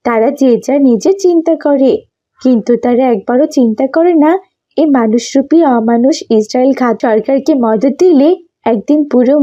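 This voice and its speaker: A woman's voice: high-pitched, mellow, muffled recording, lightly acted. A woman voicing a fervent, hopeful young Palestinian woman in a cartoon, speaking emphatically. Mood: indignant. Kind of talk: cartoon dialogue acting.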